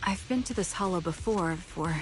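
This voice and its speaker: A woman voicing a composed, polite female fighter with a formal, measured manner in a video game. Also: on the low side, lightly acted, poor audio. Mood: calm, earnest. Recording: loud music over game dialogue.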